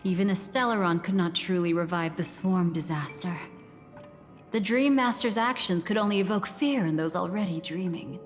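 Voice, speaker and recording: An actress playing a calm, mysterious woman who guides the listener through past memories in a composed, reflective way. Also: lowish voice, moderate pace, natural voice. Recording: reverberant game audio with soft music.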